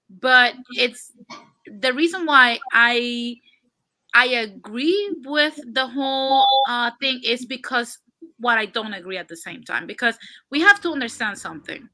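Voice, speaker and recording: A woman's voice: a little high, pushed and bright, poor audio. A woman guest on a livestream, giving her opinions in a casual talk and often restarting her points as she thinks them through.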